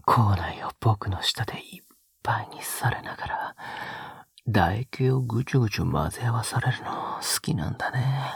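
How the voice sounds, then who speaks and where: A man playing a tender, teasing young male lover in an intimate romantic audio drama, breathy and often whispering in a soft, coaxing way close to the microphone. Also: fairly low pitch, lively intonation, normal speed, dry recording, heavily acted. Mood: whispered, coaxing. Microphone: close microphone, dry sound.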